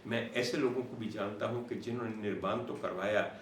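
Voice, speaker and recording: A Pakistani-accented man presenting an explanatory monologue to viewers as host, in a deliberate, dramatic manner with repetition for emphasis. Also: fairly low pitch, fairly even intonation. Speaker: host and doctor presenting a talk show. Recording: roomy, distant mic with faint noise.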